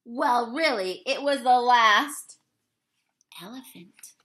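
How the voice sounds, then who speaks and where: A woman's voice: fairly high pitch, pushed and bright. A young woman reading a children's storybook aloud expressively, speaking to young listeners like a teacher. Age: young adult. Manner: deliberate, addresses young listeners with questions. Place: small indoor room.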